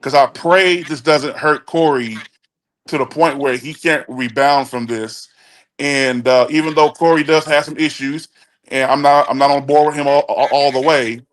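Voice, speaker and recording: A man's voice: mid-range voice, fairly even intonation, normal speed. A man in his forties from Chicago, speaking bluntly and emphatically as a guest on a call-in talk show, often restarting his sentences. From Chicago, USA. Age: 40s. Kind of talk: casual opinion chat.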